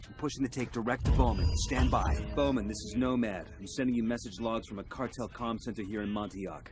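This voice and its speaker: A man's voice: on the low side, brisk, over music, lightly acted. A man playing a terse, dry-humoured special forces team leader in clipped video game radio dialogue. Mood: terse, businesslike. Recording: noisy field radio scene.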